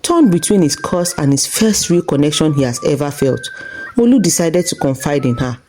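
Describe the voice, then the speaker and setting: A woman's voice: low voice, lively intonation, moderate pace, dark tone, a little hiss or hum. A woman storyteller reading a scripted folktale narration.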